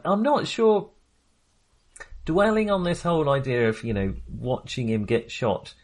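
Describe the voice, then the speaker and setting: A British man co-hosting a casual film discussion, speaking in a hesitant, rambling way and often interrupting himself. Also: mid-range voice. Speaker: film podcast co-host.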